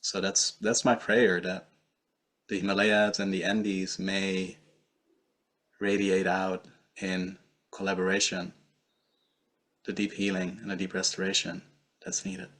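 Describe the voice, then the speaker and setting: A man with a low voice offers an earnest prayer at a measured pace. His tone stays sincere throughout. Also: little pitch movement, fairly bright tone, poor audio. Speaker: meditation call participant. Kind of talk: prayerful sharing.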